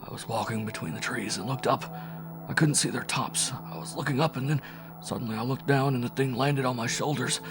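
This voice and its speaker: A man's voice: medium pitch, a little fast, music in the background, pitched above the performer's own voice, lightly acted. A man voicing a delirious, terrified young man who sobs and cries out wildly. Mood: raving. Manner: sobbing, crying out wildly.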